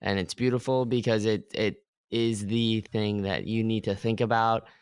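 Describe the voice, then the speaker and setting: A man's voice: on the low side, little pitch movement, moderate pace, clear, resonant tone, dry recording. A young man hosting a podcast, talking casually with lots of "like" fillers. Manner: rambling, many "like" fillers. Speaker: podcast host. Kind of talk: casual interview chat.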